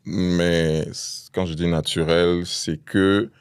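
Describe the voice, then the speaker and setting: A man's voice: low-pitched, flat delivery, takes it slowly, smooth, no room sound, clean studio sound. A man in his thirties from Cameroon, a podcast guest speaking casually with many hesitant fillers. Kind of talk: casual interview.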